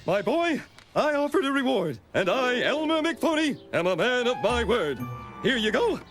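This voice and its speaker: An actor playing a pompous, excitable male art gallery owner in a cartoon. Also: very high-pitched, moderate pace. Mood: grandstanding. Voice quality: pompous, gushing. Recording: cartoon soundtrack with background music.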